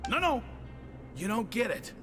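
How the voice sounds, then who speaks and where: Cocky know-it-all inmate from a video game, swoopy theatrical delivery, music behind him. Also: male voice, extremely high pitch, big pitch swings, a little slow, fairly bright tone, heavily acted. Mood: eager, knowing. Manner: boastful, conspiratorial, theatrical. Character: cocky, self-important gossiping male inmate, eager show-off.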